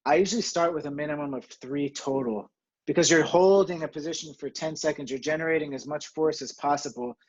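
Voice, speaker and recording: A man from New Jersey teaching a home exercise webinar from his home, chatty and self-deprecating, with a steady, fairly monotone delivery and filler words. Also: a little high, a little fast, lo-fi sound. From New Jersey, USA. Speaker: physical therapy webinar presenter.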